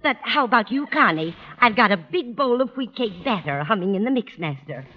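A woman's voice: on the high side, lively intonation, fairly quick. An actress playing an older, kindly, fussing landlady in a vintage radio sitcom. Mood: solicitous. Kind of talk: 1940s-50s radio sitcom acting. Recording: muffled, hissy old radio recording.

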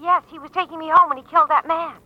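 An earnest woman nightclub performer, very high-voiced and quick. She speaks through a muffled telephone effect in a vintage radio drama. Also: steady tone. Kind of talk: old-time radio drama acting.

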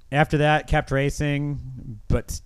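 Clear, dry-sounding middle-aged man chatting. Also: a little high, fairly even intonation, takes it slowly, dry recording. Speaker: podcast host. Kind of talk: casual podcast chat.

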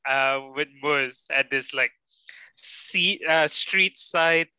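A man's voice: on the high side, takes it slowly, sharp tone, clear, resonant tone, no room sound. A man in casual chat who tells stories while laughing often. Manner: giggly storyteller, laughs often.

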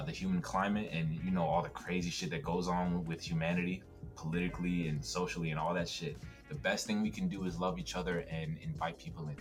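A young man from the US East Coast, a guest on a podcast, talking in a relaxed, reflective way and laughing often. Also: sits low. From United States, East Coast. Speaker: podcast guest, TikTok creator. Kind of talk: casual podcast chat.

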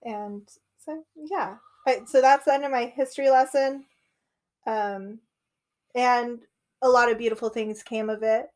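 A woman's voice: fairly high pitch, fairly even intonation, a little slow, darkish tone. A young woman co-hosting a casual conversation, talking freely with frequent "like" fillers. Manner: chatty, lots of "like" fillers. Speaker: podcast co-host.

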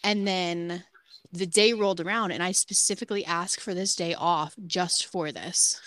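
A woman's voice: lowish voice, fairly even intonation, normal speed, fairly bright tone, no echo. Blunt woman in her twenties, very dry sound. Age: 20s. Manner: blunt, frank, heavy use of "like". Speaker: podcast co-host. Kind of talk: casual chat.

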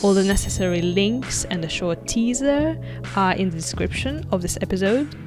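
A woman's voice: medium pitch, expressive, moderate pace, over music. A woman hosting a podcast, reading a welcome intro and then chatting with her guest in a friendly, easy way. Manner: friendly, laughs easily, short backchannels. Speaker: podcast host. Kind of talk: podcast intro and casual interview.

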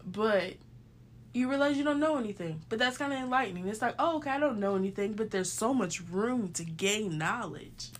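A woman's voice: on the high side, brisk. A woman in her late twenties co-hosting a casual chat, telling stories with a laugh and acting out what people said. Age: 20s. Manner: laughing, animated storytelling with acted-out quotes. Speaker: podcast co-host.

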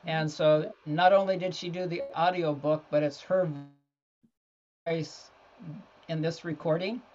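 A man's voice: fairly high pitch, steady tone, a little slow, darkish tone. Male interview guest, casual storyteller style, somewhat dull recording. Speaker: podcast guest, children's author. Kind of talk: casual anecdotal interview talk.

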